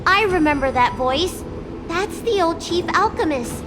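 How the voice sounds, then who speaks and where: A woman's voice: animated, measured pace. A woman voicing a timid, apologetic little dragon girl with a childlike, hesitant voice in a video game. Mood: alarmed. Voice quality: childlike, high. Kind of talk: video game character acting. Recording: roomy echo, loud noise behind.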